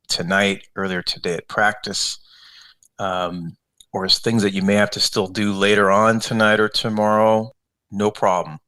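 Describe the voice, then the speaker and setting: A man leading a guided meditation as a coach, giving unscripted, conversational instructions step by step with gentle repetition. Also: deep voice.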